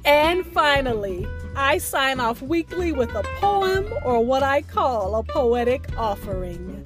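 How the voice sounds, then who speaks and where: A woman podcast host reading a scripted sign-off with deliberate, emphatic phrasing over instrumental music. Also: high-pitched, very animated. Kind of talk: scripted podcast outro narration. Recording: music bed behind the voice.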